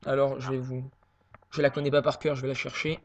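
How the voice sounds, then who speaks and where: A man making a prank phone call, posing as a worried son and talking hesitantly with many pauses and fillers. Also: mid-range voice, little pitch movement.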